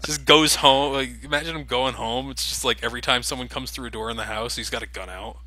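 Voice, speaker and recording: A man's voice: medium pitch, fairly even intonation, fast talker, noisy background. A young man casually commenting over game cutscenes, joking and critical of the game as the host. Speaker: let's play host.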